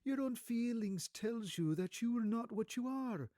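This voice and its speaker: Man voicing a gentle, sorrowful young woman, high-pitched. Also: lively intonation, unhurried, dry recording, studio quality. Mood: earnest, resigned. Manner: soft, earnest, formal phrasing.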